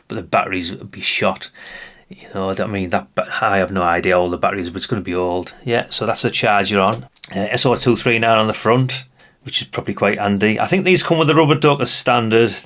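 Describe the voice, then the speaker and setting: A man's voice: lowish voice, a bit bright. A British man giving a casual hands-on walkthrough as a presenter, chatty and rambling, often tagging questions onto his remarks. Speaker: presenter of a vintage radio channel.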